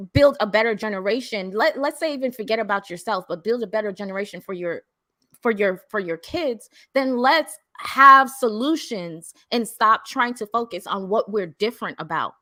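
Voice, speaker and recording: Young woman on a live panel, bright voice, dry close sound, keeps restarting her words. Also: medium pitch, no echo. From Jamaican household. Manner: earnest, repeats and restarts words.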